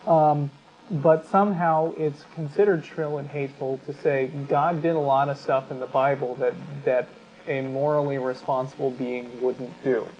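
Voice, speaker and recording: A man co-hosting a talk show, giving unscripted commentary in a halting, self-correcting, argumentative way. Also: medium pitch, fairly even intonation. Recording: dull sound with clear background noise.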